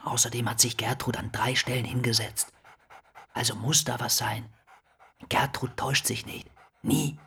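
Gravelly, even-toned police officer. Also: male voice, mid-range voice, normal speed, full character voice. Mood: matter-of-fact. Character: matter-of-fact junior police officer reporting to boss. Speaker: radio drama actor. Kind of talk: naturalistic radio drama dialogue.

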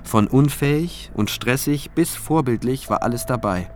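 A man's voice: medium pitch, expressive, moderate pace, soft background music, lightly acted. An actor playing a state prosecutor who narrates his case in a reflective, dry, observant first-person style for a radio drama. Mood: dry, sceptical. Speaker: narrator and lead character.

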